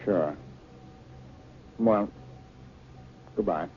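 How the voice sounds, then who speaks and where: A man's voice: deep voice, drawn-out words, breathy edge, heavily acted. A man playing an exasperated but affectionate academic husband who loves insects, acted in 1950s radio drama style. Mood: subdued, affectionate. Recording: noisy, dull 1950 radio recording.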